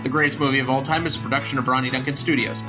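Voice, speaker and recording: A young man hosting a podcast, talking about films in earnest, explanatory chat and reading out a brisk closing script. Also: mid-range voice, level tone, over music. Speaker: podcast host.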